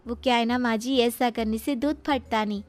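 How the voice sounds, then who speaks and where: A woman's voice: fairly high pitch, steady tone, moderate pace, soft background music, natural voice. A woman voicing a naive, earnest young village daughter-in-law in a cartoon story, simple and polite to her elders. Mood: polite, explaining. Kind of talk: Hindi cartoon storybook dialogue.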